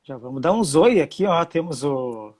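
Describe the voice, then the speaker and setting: A middle-aged man with a Santa Catarina Brazilian Portuguese accent hosts a live interview over a video call. His voice sits on the higher side, and his friendly delivery is full of fillers and small hesitations. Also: animated, normal speed, darkish tone. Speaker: host.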